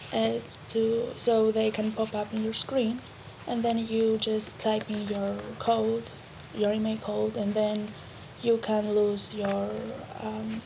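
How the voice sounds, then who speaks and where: A woman's voice: fairly high pitch, creaky, noisy background. A young woman from Greece, a student guest, answering hesitantly with many fillers. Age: teens or young adult.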